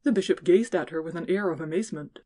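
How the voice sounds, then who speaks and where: Slightly low-voiced woman narrating an audiobook. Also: fast, mellow. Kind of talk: scripted audiobook narration of classic fiction.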